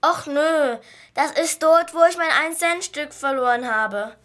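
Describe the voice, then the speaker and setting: A woman's voice: high-pitched, a little slow, a bit bright. A female amateur playing a dim, blunt policewoman who blurts out rude remarks in an audio drama. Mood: whiny, naive.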